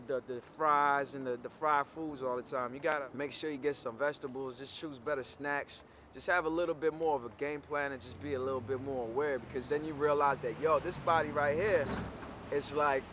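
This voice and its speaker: Laid-back rapper talking outdoors. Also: male voice, on the high side, a bit bright. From Comoros Islands, raised in the Maryland/DC area. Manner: laid-back, conversational, lots of "like" and "you know". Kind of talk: casual talking-head interview. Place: outdoors by a graffiti wall in a city. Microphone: camera framed at chest height. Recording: faint city noise outdoors.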